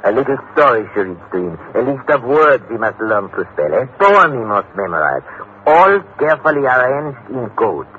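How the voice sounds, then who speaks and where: An actor playing a suave, wary French gentleman with a French accent and formal phrasing in an old radio drama. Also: medium pitch, very animated, moderate pace, lightly acted. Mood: grave, confiding. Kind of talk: 1950s radio drama acting. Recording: tinny, hissy old radio broadcast.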